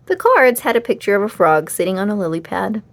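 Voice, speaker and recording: A woman narrating a children's storybook aloud in an expressive read-aloud style. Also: mid-range voice, fairly quick.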